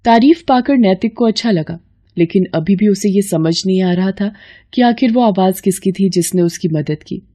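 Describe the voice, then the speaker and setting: Woman storyteller with a mellow, dark voice. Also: medium pitch, normal speed. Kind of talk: scripted story narration.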